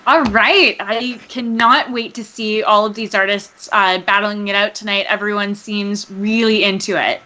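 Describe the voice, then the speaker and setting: Bright-voiced woman commentating, upbeat. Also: medium pitch, steady tone, moderate pace, hardly any pauses. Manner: upbeat, chatty, many fillers. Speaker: co-host and commentator. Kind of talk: live online commentary.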